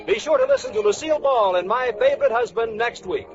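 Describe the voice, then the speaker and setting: A man's voice: very high-pitched, lively intonation, fast talker. An American man reading scripted closing credits as a 1940s radio announcer. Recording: muffled old radio recording over music.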